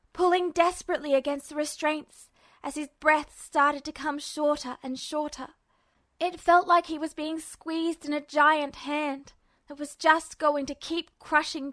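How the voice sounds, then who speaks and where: A woman narrates dramatic fiction in the manner of an audiobook. Her voice sits high, and the sound is dry with very little room in it. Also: a bit bright, no room sound.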